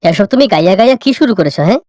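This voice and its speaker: Woman as a firm, fast-talking family father. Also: medium pitch, expressive, warm tone, dry recording, clean studio sound, natural voice. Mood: reproving. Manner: reproving, insistent. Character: firm, fair-minded middle-aged father of grown sons.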